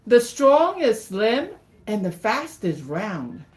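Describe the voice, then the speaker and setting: Slow, theatrical storybook narrator read by a woman. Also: a little high, big pitch swings, a little hiss or hum, voice pushed up. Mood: storytelling. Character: storybook narrator of mice and a villainous cat, theatrical. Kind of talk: picture-book read-aloud in rhyme.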